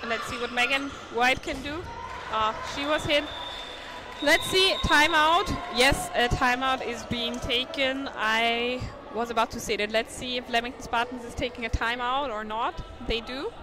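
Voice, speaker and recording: A woman's voice: on the high side, steady tone, normal speed. Chatty woman commentating live sport. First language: not English. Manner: chatty, thinks aloud, stumbles on names. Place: indoor sports hall. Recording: noisy sports hall ambience. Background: bouncing balls and hall noise.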